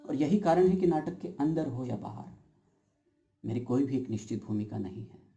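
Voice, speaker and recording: Casting: male actor as uncertain, brooding everyman for a stage monologue; very mellow, dark tone, deliberate philosophical delivery with dramatic stresses. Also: mid-range voice, lively intonation, natural voice. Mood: emphatic, questioning. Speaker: actor performing an audition monologue. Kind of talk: theatrical stage monologue addressed to the audience.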